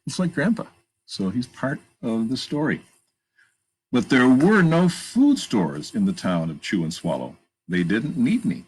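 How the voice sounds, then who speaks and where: A man with a gravelly, husky voice reads a picture book aloud to children, pausing to point out pictures and ask questions. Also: mid-range voice. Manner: animated, emphatic read-aloud delivery. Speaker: children's storytime reader. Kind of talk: reading a picture book aloud with chatty asides.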